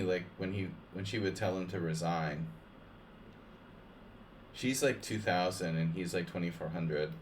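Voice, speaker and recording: A man's voice: sits low, slight background noise. A man streaming chess against viewers, chatting casually and rambling, with lots of "like" and restarts between joking asides. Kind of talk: casual live-stream chat over games.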